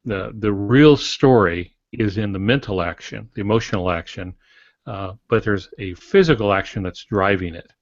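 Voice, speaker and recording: Low-voiced folksy man, dry close sound. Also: moderate pace, no echo. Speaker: podcast co-host and novelist. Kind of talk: casual, reflective discussion. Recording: dull lo-fi podcast audio.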